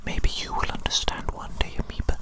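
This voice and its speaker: A performer whispering as a doting, eccentric evil doctor in an ASMR roleplay, breathy and theatrical, soothingly repeating phrases. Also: brisk, lightly acted. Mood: devoted, grandiose. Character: doting, eccentric evil doctor fussing over a created monster.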